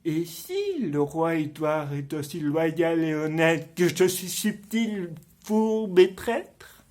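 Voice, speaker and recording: An actor playing a bitter, scheming, deformed nobleman, delivering a theatrical Shakespearean monologue in a declamatory, deliberate manner. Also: fairly high pitch, sing-song, darkish tone. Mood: quiet, sinister plotting.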